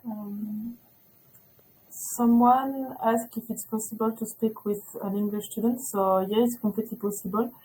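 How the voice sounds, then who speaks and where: A woman's voice: a little high, fairly even intonation, a little slow, a little mellow, a little hiss or hum, rough recording. Hesitant woman presenter. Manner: hesitant, many fillers, non-native English. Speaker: school representative. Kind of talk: webinar presentation.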